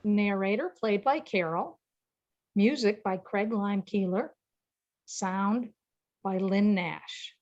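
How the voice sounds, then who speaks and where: A woman's voice: mid-range voice, takes it slowly, background noise. An older woman reading scripted narration and announcements for a radio play, on dull lo-fi audio.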